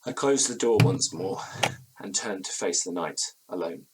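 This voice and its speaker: An actor playing an anxious, haunted gentleman narrating a period ghost story in florid, formal, faltering language. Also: low-pitched, moderate pace, background noise, lightly acted. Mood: uneasy, brooding. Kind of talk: dramatic first-person horror narration in audio drama.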